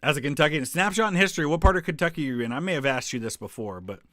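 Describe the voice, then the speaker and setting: A man's voice: fairly high pitch, lively intonation, rapid-fire, sharp tone, no echo. A man hosting a live stream, chatting loosely through travel photos and viewer questions, with many ums and restarts. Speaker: history channel host answering live chat.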